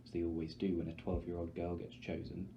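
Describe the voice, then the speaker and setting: A man's voice: sits low, little pitch movement, brisk. A man reading a story aloud as scripted, dramatic narration. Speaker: audiobook reader, teacher. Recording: dull recording with light background noise.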